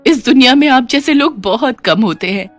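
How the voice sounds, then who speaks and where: A woman's voice: high-pitched, expressive, normal speed, no echo, soft background music, pitched above the performer's own voice. Woman playing an anxious humble housemaid, raised voice. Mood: grateful. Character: poor, anxious, humble housemaid, wife and mother.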